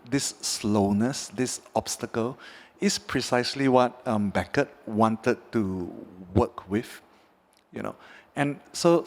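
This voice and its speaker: A man's voice: medium pitch, a little mellow. A man from Singapore speaking on a panel, hesitant and reflective, with frequent stutters and restarts. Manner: hesitant, slow, stuttering delivery. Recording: reverberant hall.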